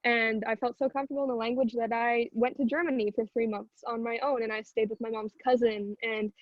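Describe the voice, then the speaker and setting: A woman's voice: on the high side, little pitch movement, fairly quick. A young American woman in her late teens chatting casually and informally about herself, with frequent filler words like a student. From Houston, United States. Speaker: singer and narrator being interviewed. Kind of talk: casual chat. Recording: dull, roomy sound.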